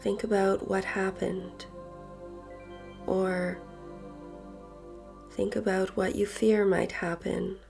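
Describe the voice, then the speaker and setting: A woman leading a guided meditation in a soft voice that turns breathy at phrase ends, gentle and unhurried with long pauses. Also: medium pitch, level tone. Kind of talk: guided meditation, slow and soothing narration. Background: soft background music.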